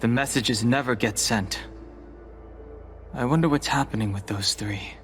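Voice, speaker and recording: A man's voice: medium pitch, a little slow, faint music behind, lightly acted. A man acting a brooding, restrained young exiled warrior in a fantasy game. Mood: pensive. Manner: grave, terse.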